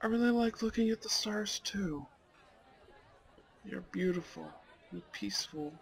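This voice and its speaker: Shy, courteous goth boyfriend type played by a man, creaky and unhurried, romantic audio roleplay with faint chatter behind. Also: high-pitched, poor audio. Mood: gentle, pleased. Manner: hesitant, soft-hearted, nervous little laughs. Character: shy, polite, dorky young goth man on a blind date.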